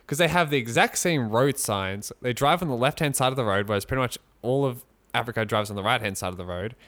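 A man co-hosting a podcast in casual chat, rambling and joking with halting restarts. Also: mid-range voice, a bit bright. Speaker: podcast co-host. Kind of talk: casual chat, mock sponsor read.

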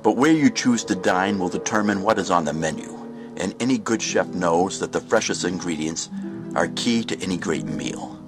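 Eerie radio-drama narrator, played by a man: low-pitched, measured, sly, over clear music. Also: close to an everyday voice. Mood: wry, ominous. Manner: measured, ominous, wry. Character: suave eerie narrator in Twilight Zone host style. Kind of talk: anthology horror narration.

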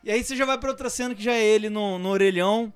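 A Brazilian man with a notably high voice for a male speaker. He talks very quickly and casually, full of slang and swearing, recounting things with animation. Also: clear, resonant tone, no room sound. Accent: Brazilian Portuguese. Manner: animated storytelling, swears freely. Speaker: panelist in a film discussion. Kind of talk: casual, slangy group chat.

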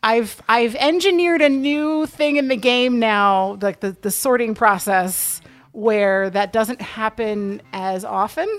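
A woman's voice: a little high, fairly even intonation, slow pace. A woman speaking as a podcast guest in casual, candid, emphatic conversation. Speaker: podcast guest, table-read organiser. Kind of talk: casual interview chat.

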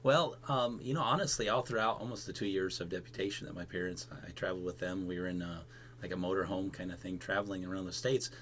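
Deep-voiced man telling his story casually. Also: quick pace, a bit bright, a little hiss or hum. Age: 30s. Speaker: podcast guest, missionary.

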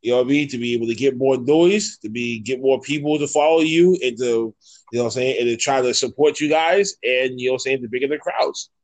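A man's voice: mid-range voice, fast. A man in his thirties hosting a casual podcast interview, slangy and sweary, encouraging his guests. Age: 30s.

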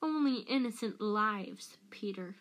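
A woman voicing a vengeful, bitter man in an audiobook, delivering furious accusations with slow force. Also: medium pitch, animated, lightly acted. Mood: bitter, accusing.

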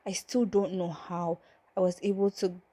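Soft-spoken young woman with a cold. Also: lowish voice, flat delivery, moderate pace, dry recording. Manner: rambling, apologetic, often dropping to a breathy near-whisper. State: has a cold. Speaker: faith podcast host. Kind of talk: casual testimony podcast talk.

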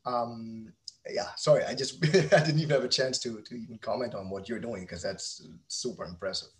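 A man speaking as a podcast guest in casual conversation, halting and repeating words, with a self-deprecating way of talking. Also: mid-range voice. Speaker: podcast guest, company founder.

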